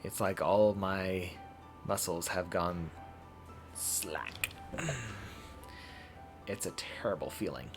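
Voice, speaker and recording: A man live-streaming a visual novel playthrough, reading aloud haltingly and correcting his own stumbles. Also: low-pitched, expressive, music in the background.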